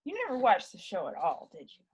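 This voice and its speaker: A woman's voice: lowish voice, big pitch swings, fast, darkish tone, breathy edge, heavily acted. A woman playing a jittery, doom-predicting crew member in an amateur table read, anxious and complaining.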